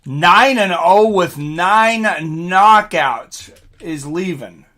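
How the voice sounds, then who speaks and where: A man hosting a live fight watch-along stream, commenting casually and talking directly to viewers in chat, often clearing his throat. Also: sits high, lively intonation, drawn-out words, pushed and bright.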